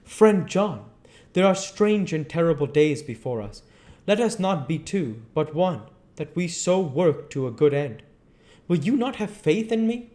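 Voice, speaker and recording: A man's voice: on the high side, very animated, unhurried, dark tone, pitched above the performer's own voice. A man voicing an old male professor who speaks in an earnest, pleading, old-fashioned way. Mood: solemn.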